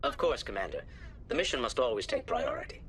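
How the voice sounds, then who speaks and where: An actor playing a measured, conciliatory alien councilor in a game, speaking formally. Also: male voice, mid-range voice, wildly swooping pitch, fairly bright tone, a little hiss or hum.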